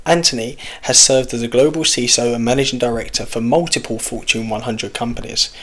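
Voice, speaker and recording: Male announcer, mid-pitch, steady scripted intro read. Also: brisk. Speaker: show announcer.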